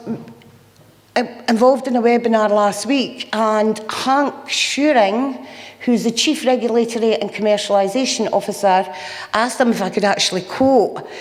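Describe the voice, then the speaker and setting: A woman's voice: on the high side, darkish tone. A woman chairing a conference session, introducing the next speaker in a hesitant, informal way with fillers. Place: conference hall. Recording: long reverb of a hall.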